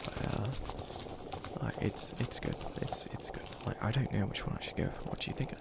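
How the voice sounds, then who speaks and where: A man acts out a bumbling male witch who dreams of villainy, with a crackly, fry-edged voice. He stammers and rambles defensively straight to the listener in a comedic ASMR roleplay, over clearly audible watery background noise. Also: fairly low pitch, moderate pace. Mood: flustered, unsure. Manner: stammering, rambling, easily flustered.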